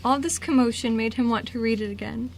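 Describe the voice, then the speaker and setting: A woman's voice: a little high, fairly even intonation, brisk, gravelly. A woman reading scripted story narration for an amateur radio drama. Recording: noisy amateur radio recording.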